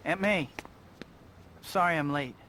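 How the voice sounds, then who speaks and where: An actor playing an earnest, harried young student hero in a video game cutscene, boyish and well-meaning. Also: male voice, fairly high pitch, slow, pushed and bright, a little hiss or hum. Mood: apologetic. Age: young adult.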